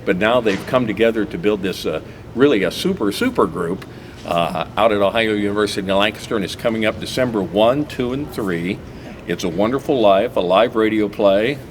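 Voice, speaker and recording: A man's voice: fairly low pitch, brisk, slight background noise. A middle-aged man hosting a local TV talk show in an easygoing way, with lots of fillers and restarts. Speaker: host. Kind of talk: casual local TV chat.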